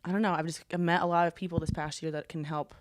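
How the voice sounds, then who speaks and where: A young woman co-hosting a fitness podcast, chatting casually, often laughing and pausing on "um". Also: fairly low pitch, flat delivery, no echo. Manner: giggly, hesitant with many ums. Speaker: podcast co-host, fitness coach. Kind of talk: casual chat.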